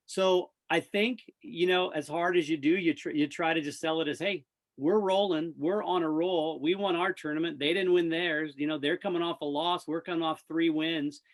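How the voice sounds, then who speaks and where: An American man, a radio play-by-play announcer, chatting casually and upbeat as a guest in a sports interview. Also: fairly high pitch.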